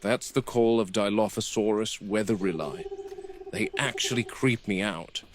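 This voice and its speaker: An actor playing a watchful dinosaur park game warden, narrating a found-footage video log in a measured, matter-of-fact way. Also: male voice, fairly low pitch, noisy background, natural voice. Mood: measured, explanatory.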